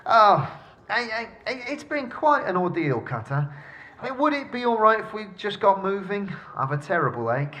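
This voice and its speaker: A genteel severed head in a fantasy audio drama. The voice is high and bright, fussy and courteous, swinging eagerly up and down as it chatters. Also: male voice, very animated, moderate pace. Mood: flustered, wistful. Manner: fussy, polite, theatrical, breaks into chuckles. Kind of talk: audio drama character acting.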